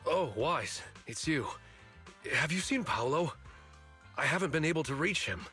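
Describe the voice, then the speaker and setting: A man's voice: a little high, expressive, unhurried, bright, forceful tone, faint music behind. A man playing a big, blunt, friendly working man in a video game, casual and forthright. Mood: friendly, concerned. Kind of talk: video game story dialogue acting.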